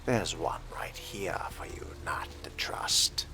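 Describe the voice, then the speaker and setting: A man speaking in character as he plays a role-playing video game, with a deliberate, measured delivery. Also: low-pitched, whispered. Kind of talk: in-character role-play dialogue.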